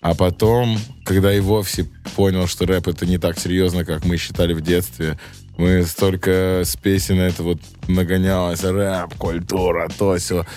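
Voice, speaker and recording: Very deep-voiced man around thirty. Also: level tone, normal speed, darkish tone, soft background music. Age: about 30. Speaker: rapper being interviewed. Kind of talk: casual, slangy conversation.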